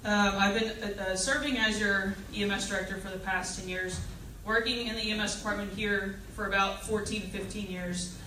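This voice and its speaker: A middle-aged woman introduces herself as a candidate at a public forum, speaking in a halting, personable way. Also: mid-range voice, flat delivery, sharp tone. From Fayette County, Texas, United States. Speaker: political candidate. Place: public meeting hall, indoors. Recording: distant echoey room, faint noise.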